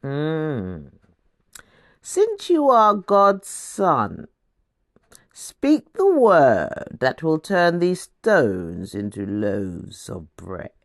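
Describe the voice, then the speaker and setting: Woman voicing a sly, slow-talking devil. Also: deep voice, huge pitch swings, no room sound, clean studio sound, exaggerated voice. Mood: sly, coaxing. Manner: slow, drawn-out, coaxing with humming lead-ins. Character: the devil, sly male tempter, smug and goading. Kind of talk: dramatized scripture storytelling.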